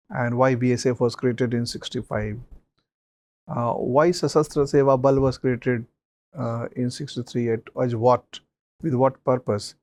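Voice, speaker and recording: A man, a mock interview panelist giving measured advice with many pauses and fillers. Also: mid-range voice, darkish tone, no room sound.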